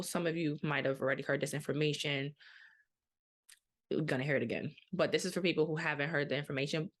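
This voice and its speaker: Low-voiced woman telling her story. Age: 30s. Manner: casual, chatty storytelling with animated quoted asides. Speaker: podcast host. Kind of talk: personal story podcast. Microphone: podcast microphone.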